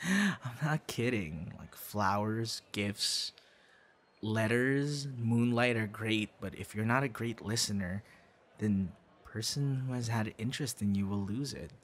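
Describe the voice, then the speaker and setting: A man's voice: fairly low pitch, lively intonation, a little slow. A man acting as a friendly, teasing and supportive young would-be boyfriend in an ASMR audio roleplay, speaking conversationally and reassuringly. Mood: earnest, reassuring.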